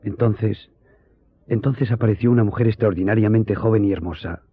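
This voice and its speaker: Actor as earnest friend, lowish tinny voice. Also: male voice, noisy background, close to an everyday voice. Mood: grave, earnest. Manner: formal, measured storytelling. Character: earnest male friend recounting a hearing. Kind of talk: radio drama storytelling. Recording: tinny, narrow-band audio.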